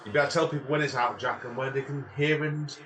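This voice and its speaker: A man's voice: medium pitch, steady tone, fast talker, crowd noise. Echoey, distant middle-aged man hosting casually. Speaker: podcast host. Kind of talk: casual interview chat. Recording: echoey, distant microphone, noisy room ambience.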